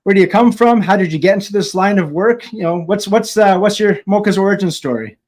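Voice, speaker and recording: A man hosting a livestream interview, asking open questions in a relaxed way with fillers. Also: sits high, brisk. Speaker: host.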